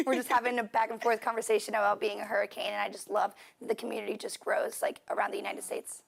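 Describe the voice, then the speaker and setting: Fast-talking young woman, gravelly voice. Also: medium pitch, little pitch movement. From Puerto Rico. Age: 20s. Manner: fast, casual, with filler words. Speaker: student athlete guest. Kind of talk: interview answers.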